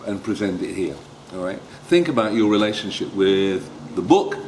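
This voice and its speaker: A man teaching how to read stories aloud to children, speaking deliberately and expressively, with coaxing questions. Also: fairly low pitch, darkish tone, a little hiss or hum, low-quality recording. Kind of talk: instructional talk with dramatic children's story reading.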